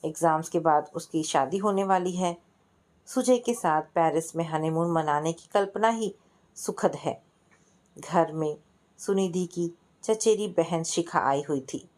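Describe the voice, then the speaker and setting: A woman storyteller narrates a scripted family story in a slightly low-pitched voice. She tells it as prose narration and steps into the dialogue of its characters. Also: a little slow, darkish tone.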